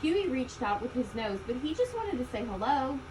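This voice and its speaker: Woman, children's storybook read-aloud, high voice, expressive emphasis, distant mic with loud background noise. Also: moderate pace. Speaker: storybook reader.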